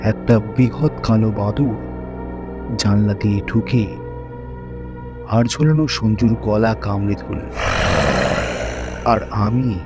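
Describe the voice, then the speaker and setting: A man's voice: lowish voice, takes it slowly, long pauses, muffled recording, over music. A man reading a horror story as dramatic scripted narration.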